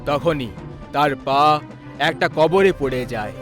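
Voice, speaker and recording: A man's voice: fairly high pitch, slow pace, fairly bright tone, soft background music. A man narrating a scripted horror story.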